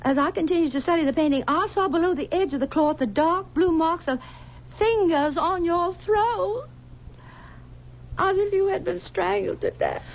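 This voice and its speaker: A clinging, high-voiced old mother. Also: animated, gravelly, exaggerated voice. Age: older. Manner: coaxing and possessive, halting with stammers. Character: clinging, possessive aging mother. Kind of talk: 1970s radio drama acting. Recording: dull 1970s radio broadcast.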